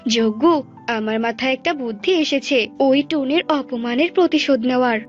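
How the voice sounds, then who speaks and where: A woman's voice: high voice, moderate pace, natural voice. A woman voicing a vain, greedy, conniving female cartoon bird, coaxing and self-satisfied. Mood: scheming. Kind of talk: Bengali cartoon bird-fable acting. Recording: dull lo-fi audio with music.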